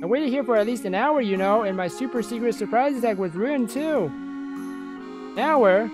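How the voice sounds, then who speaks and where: Put-on voice of a whiny, bubbly high-pitched schoolgirl. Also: normal speed, over music, lightly acted, rough recording. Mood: excitable, playful. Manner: whining, excitable, dramatic.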